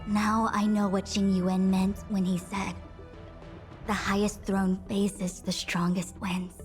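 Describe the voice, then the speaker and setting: A woman voicing a dignified, authoritative young diviner and acting general in a video game, speaking formally and with measured eloquence. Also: medium pitch, flat delivery, soft background music, close to an everyday voice. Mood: solemn, official. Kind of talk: video game character dialogue.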